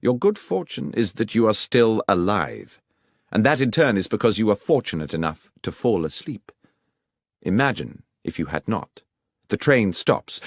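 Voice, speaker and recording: A man voicing a brisk, coolly confident Victorian detective with an English accent, precise and authoritative, in an audiobook. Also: lowish voice, no room sound, studio quality. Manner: precise, authoritative, methodical questioning. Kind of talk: audiobook character dialogue.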